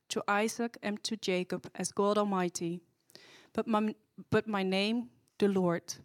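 A woman's voice: mid-range voice, dry recording. A woman reading a Bible passage aloud to a church, carefully and steadily, correcting small slips as she goes. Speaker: scripture reader.

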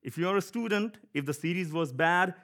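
A man from India with an Indian accent giving a conversational church sermon, often pausing with fillers and light laughs. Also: high-pitched, fairly bright tone. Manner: conversational, chuckling, with frequent fillers. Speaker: preacher.

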